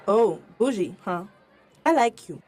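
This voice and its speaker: A woman's voice: medium pitch, lively intonation, measured pace, darkish tone. A woman playing a sassy, outspoken teenage girl in a radio drama, talking casually. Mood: sassy, friendly. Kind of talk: radio drama acting, casual teen talk.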